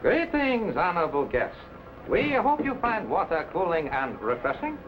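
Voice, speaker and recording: A scheming Chinese villain in a vintage serial, high-pitched and coldly polite. His formal, clipped phrases swing widely in pitch. The soundtrack is muffled, with music underneath. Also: wildly swooping pitch, moderate pace. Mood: mock courteous. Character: scheming, falsely courteous male Chinese villain, coldly menacing. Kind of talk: 1950s adventure serial acting.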